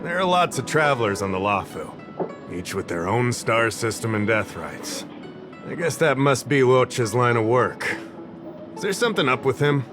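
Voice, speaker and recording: An actor playing an affable, easygoing investigative official in a video game, conversational and explanatory. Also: male voice, medium pitch, expressive, normal speed, over music, natural voice. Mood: casual, forgetful. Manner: conversational, slightly absent-minded, explanatory. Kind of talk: video game character acting.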